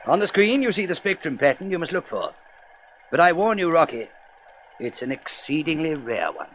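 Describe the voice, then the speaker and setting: A man's voice: on the high side, sing-song, normal speed, a bit bright, a little hiss or hum, poor audio. A man playing a courteous, grave president of a space council in a radio serial, formal and emphatic. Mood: explanatory. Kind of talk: vintage science-fiction radio serial acting.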